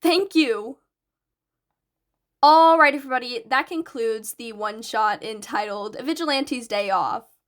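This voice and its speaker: Fairly high-voiced woman narrating fiction. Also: normal speed, a bit bright. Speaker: podfic narrator. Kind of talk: scripted fan fiction narration.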